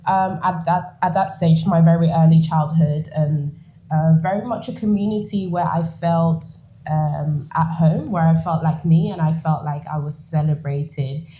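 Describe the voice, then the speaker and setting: A woman in her twenties from the Netherlands, telling her story as a guest in an interview, animated and quick to laugh. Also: low voice. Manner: animated, laughs often, acts out quoted lines.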